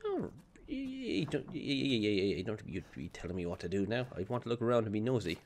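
Raspy-voiced man joking through a game. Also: lowish voice, big pitch swings, fast talker, darkish tone, slight background noise. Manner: joking, teasing game characters. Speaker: gameplay streamer. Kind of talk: casual let's-play commentary. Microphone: headset.

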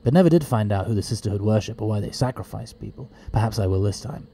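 A man playing an eccentric, good-natured time-travelling alien adventurer in an audio drama, hesitant, stammering and excitable. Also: lowish voice, fast talker, vocal fry, husky. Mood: reflective.

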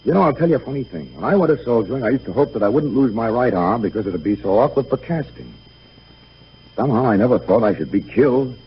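An actor playing a young dead soldier son in a 1930s radio drama, breezy and gentle, speaking in a boyish, coaxing, informal way. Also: male voice, medium pitch, animated, fast talker, close to an everyday voice. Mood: wistful, matter-of-fact. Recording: muffled, noisy 1938 radio broadcast.

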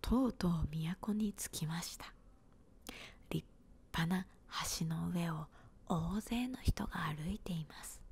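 Woman, 30s, breathy whisper, very slow, close handheld mic, small room. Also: on the low side. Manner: gentle, unhurried whisper. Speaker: storyteller. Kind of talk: whispered ASMR storybook reading. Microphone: close handheld recorder.

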